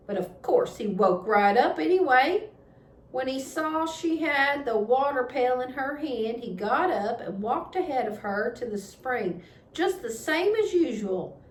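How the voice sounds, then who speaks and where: A woman voicing a plainspoken farmer uncle in a storybook, folksy and matter-of-fact. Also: a little high. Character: plainspoken farmer uncle recounting a panther hunt. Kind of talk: children's storybook read-aloud. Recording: echoey room with background noise.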